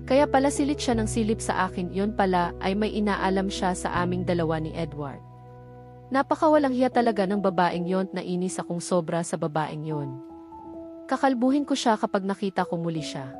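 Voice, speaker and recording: A woman's voice: lowish voice, lively intonation, moderate pace, close to an everyday voice. A woman with a Filipino accent voicing an earnest, hurt young woman confiding at length in an audiobook story. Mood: troubled, then indignant. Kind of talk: audiobook dialogue. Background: background music.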